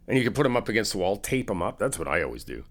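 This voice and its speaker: A man's voice: on the low side, sing-song, racing. A man playing an easygoing, helpful friend in an ASMR roleplay, talking in a casual, reassuring, conversational way. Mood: upbeat, reassuring. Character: easygoing, helpful male friend helping someone move in.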